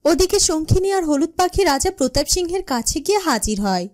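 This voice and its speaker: A woman's voice: high-pitched, lively intonation, quick pace, faint music behind. A woman narrating a scripted cartoon fairytale for viewers.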